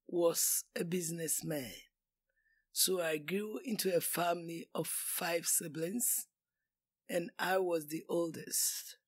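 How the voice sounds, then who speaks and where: A woman in her sixties with a Ghanaian accent, telling her life story as an interview guest in a deliberate, talkative way. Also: lowish voice. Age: 60s. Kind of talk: casual interview.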